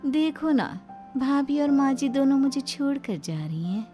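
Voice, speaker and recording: A woman's voice: high-pitched, lively intonation, unhurried, mellow, soft background music, pitched above the performer's own voice. A woman voicing a young snake-woman bride in a cartoon story, falsely sweet and vengeful. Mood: plaintive. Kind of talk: Hindi cartoon story acting.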